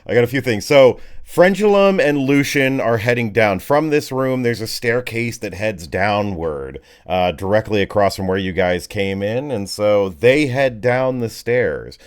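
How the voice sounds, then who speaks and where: A man running a tabletop game as game master, narrating off the cuff in vivid, colloquial, halting phrases. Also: on the low side, lively intonation.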